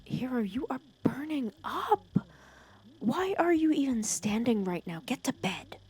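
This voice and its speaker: A woman playing a protective, teasing female villain who scolds and cares for her hero nemesis in an ASMR roleplay, sarcastic and often dropping to a hush. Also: high-pitched, sing-song, a little slow, full character voice. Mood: worried, bossy. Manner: scolding yet caring, sarcastic, dropping into hushed breathy asides. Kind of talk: ASMR roleplay audio drama.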